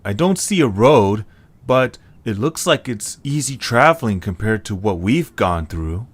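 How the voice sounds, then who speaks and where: A man playing an excitable, good-hearted young creature adventurer who grumbles and exclaims in an amateur audio drama. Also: on the low side, unhurried, breathy edge. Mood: relieved.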